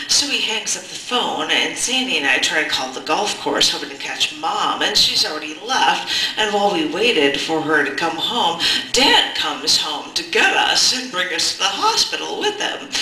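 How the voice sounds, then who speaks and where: A woman with a very bright, forceful voice reads a personal narrative aloud at an open mic. Her delivery is expressive and conversational, like storytelling. The room adds clear echo around her voice. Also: on the low side. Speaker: poet, open mic host. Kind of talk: spoken-word reading of a personal narrative. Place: indoor cafe open mic. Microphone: handheld or stand microphone held close. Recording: roomy, echoing live cafe sound.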